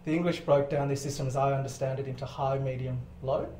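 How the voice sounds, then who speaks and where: A man speaks as an interview guest in a relaxed, explanatory way with the odd swear word. His voice sits at a middling pitch. The recording is echoey, with some faint noise behind it. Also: quick pace. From born in Zambia, lived in Botswana as a child, then grew up in Australia. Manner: explanatory, articulate, swears casually. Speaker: podcast guest. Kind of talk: casual interview storytelling. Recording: roomy echo, clear background noise.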